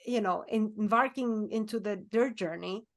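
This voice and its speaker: A woman's voice: mid-range voice, normal speed. Earnest woman in her forties. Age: 40s. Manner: earnest, repeats herself when stressing a point. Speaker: podcast co-host. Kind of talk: casual chat.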